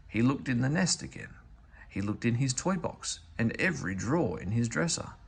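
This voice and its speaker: Male narrator for children's picture books, very expressive intonation, fry in the voice, faint noise behind. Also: mid-range voice, normal speed. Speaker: storybook reader.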